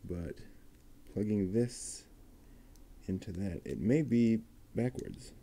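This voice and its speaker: A man quietly talking himself through assembling a plastic model kit, half-mumbling as he works. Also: lowish voice. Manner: mumbling to himself while working, unhurried. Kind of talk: muttered build commentary.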